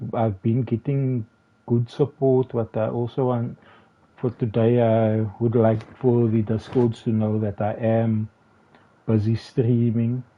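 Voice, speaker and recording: A slightly hoarse man commentating his game live, talking haltingly with many restarts and fillers. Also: medium pitch. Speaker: game streamer. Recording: tinny phone-like sound with faint music.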